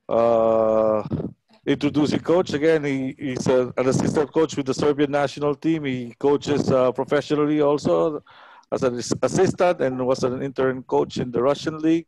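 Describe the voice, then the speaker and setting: A man in his forties with a Filipino accent, hosting an online coaching talk informally and haltingly into a headset. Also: medium pitch, fairly even intonation, a little mellow, no echo, low-quality recording. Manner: halting, hesitant delivery. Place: small room at home.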